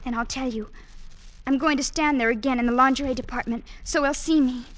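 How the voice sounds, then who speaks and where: Actress as a high-voiced dreamy young woman. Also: fairly even intonation, brisk, close to an everyday voice. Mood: wistful. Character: dreamy, fearful young woman in forbidden love. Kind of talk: vintage radio drama acting.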